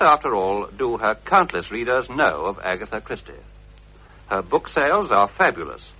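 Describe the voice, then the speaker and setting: A man's voice: mid-range voice, pushed and bright, slightly rough. A man with a received-pronunciation British accent reading scripted documentary narration in a formal, polished manner. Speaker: radio presenter. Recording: dull, lo-fi old radio recording.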